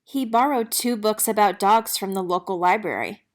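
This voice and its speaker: A woman narrating a children's picture book in an expressive storytelling manner. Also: medium pitch, moderate pace. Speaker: read-aloud narrator.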